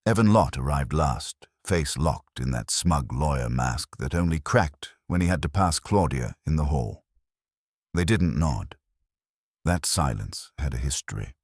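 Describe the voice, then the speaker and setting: A man narrating a scripted detective story as an audiobook, in a measured, theatrical noir manner. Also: a bass voice, animated, husky, no room sound, clean studio sound.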